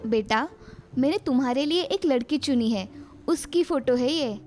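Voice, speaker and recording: Woman playing a high-voiced caring family matriarch. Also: expressive, a little slow, a little mellow, soft background music. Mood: motherly. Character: caring, practical Indian family matriarch, mother and aunt. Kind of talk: cartoon story dialogue.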